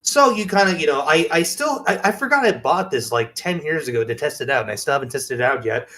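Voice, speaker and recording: A man co-hosting a podcast in casual show-and-tell chat, halting and interrupting himself as he presents. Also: fairly high pitch, animated, a bit bright. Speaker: podcast co-host.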